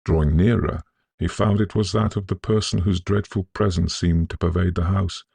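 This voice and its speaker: A man narrating a literary ghost story in a measured, solemn, scholarly manner, with a gravelly, husky voice. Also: sits low, steady tone, darkish tone, no echo. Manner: measured, deliberate, solemn delivery.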